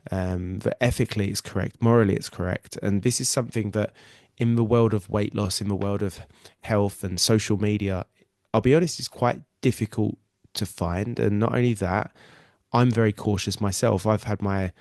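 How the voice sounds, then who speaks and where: A man's voice: lowish voice, steady tone, normal speed, darkish tone, no room sound, clean studio sound. A man with a nasal cold, hosting a live weight loss webinar in an earnest, reassuring way and often repeating himself. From England. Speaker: weight loss coach and podcast host.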